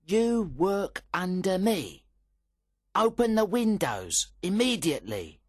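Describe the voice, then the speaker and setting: A man's voice: very animated, slow pace, no room sound, studio quality, full character voice. A man voicing a big, jealous, bullying teenage boy in a storybook reading, bossy and whiny. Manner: whiny, bossy, shrill when scared.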